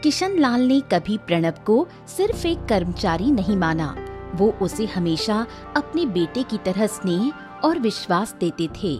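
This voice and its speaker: A woman delivers scripted story narration. Her mid-pitched voice moves expressively up and down, with music playing behind it. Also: darkish tone.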